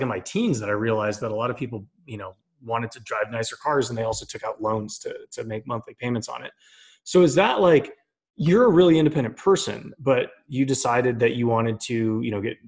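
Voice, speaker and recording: Easygoing man asking questions. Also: mid-range voice. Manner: relaxed, laughs easily, asks probing questions. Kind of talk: casual podcast chat.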